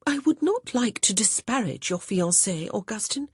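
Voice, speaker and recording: A young society lady answers with sugary, innocent-sounding sweetness that hides her mischief, voiced by the audiobook narrator. Her voice is slightly breathy and bounces lively in pitch, close and dry. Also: moderate pace, dry recording. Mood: sweet. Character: sly, sweet-talking young society woman.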